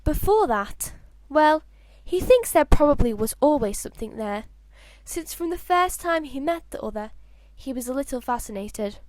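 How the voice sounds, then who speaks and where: A woman's voice: high-pitched, expressive, moderate pace, few pauses, a little mellow, dry recording. A woman narrating a story like an amateur audiobook, reading expressively with the occasional stumble over words. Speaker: fan fiction narrator.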